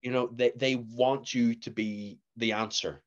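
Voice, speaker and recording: A young man with a Northern Irish accent, a guest actor telling stories in a casual podcast chat, animated and laughing often. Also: medium pitch, measured pace, dull audio. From Northern Ireland.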